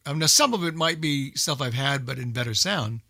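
Older man, bright voice, dry sound. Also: medium pitch, lively intonation, a little fast, gravelly, dry recording. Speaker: radio show host and old-time radio collector. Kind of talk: casual chat about old-time radio.

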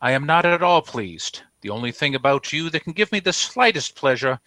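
Haughty store owner, indignant, formal, exacting, piercing bright tone, somewhat high pitch, old-time radio comedy. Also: male voice, big pitch swings, brisk, dry recording. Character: pompous, indignant old-fashioned store proprietor. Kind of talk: 1940s radio comedy acting. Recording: vintage radio broadcast.

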